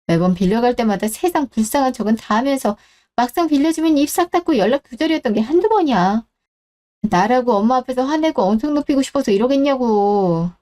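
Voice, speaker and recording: A woman's voice: a little high, a little mellow, natural voice. A woman playing a blunt, exasperated middle-aged daughter who nags and complains in a radio drama. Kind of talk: Korean radio-drama story acting.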